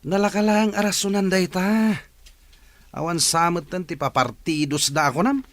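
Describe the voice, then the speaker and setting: A man's voice: high-pitched, fairly even intonation, moderate pace, no room sound, close to an everyday voice. A man playing a discouraged village man in a radio drama. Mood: grumbling. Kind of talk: Ilocano radio drama acting.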